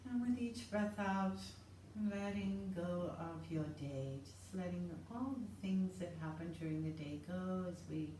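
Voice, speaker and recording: A woman leads a yoga class in a calm, soothing voice that sits low and mellow. She is recorded from a distance in a roomy space, with a faint hiss behind her. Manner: slow, soothing, calm cues. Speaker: yoga instructor. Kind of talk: guided yoga class instruction.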